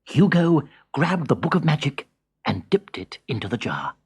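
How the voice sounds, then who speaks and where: A man narrating a children's story in an expressive, dramatic storytelling style. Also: fairly high pitch, moderate pace, husky.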